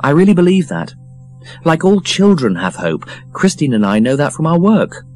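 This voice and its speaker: A man voicing an earnest, hopeful, gently indignant young woman in an audiobook. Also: a little high, sing-song, moderate pace, faint music behind, pitched above the performer's own voice. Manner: earnest, protesting. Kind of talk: audiobook character voice.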